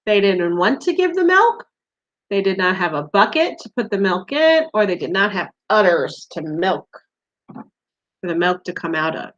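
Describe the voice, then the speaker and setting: A woman teaching young children speaks slowly, with a lively, swinging pitch. She puts simple questions to her small listeners and encourages their answers. The recording is a little dull and lo-fi. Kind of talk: interactive read-aloud quiz for young children.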